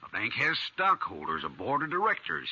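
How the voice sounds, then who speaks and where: An actor in a 1950s radio western, playing an apologetic, nervous small-town banker who pleads and justifies himself. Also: male voice, lowish voice, huge pitch swings, a little fast, pushed and bright, breathy edge, heavily acted. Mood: apologetic, pleading. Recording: dull old radio recording.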